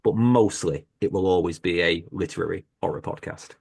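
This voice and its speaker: A British man, a horror podcast host, talking as a guest on a video-call panel in a relaxed, self-deprecating way. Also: deep voice, brisk, vocal fry, husky. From Britain. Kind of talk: casual panel conversation.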